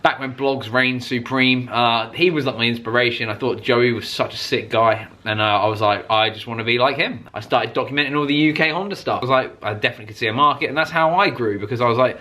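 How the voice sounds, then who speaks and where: British male car vlogger, bright punchy voice, casual rambling storyteller, keen, slangy and sweary. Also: medium pitch, quick pace, few pauses.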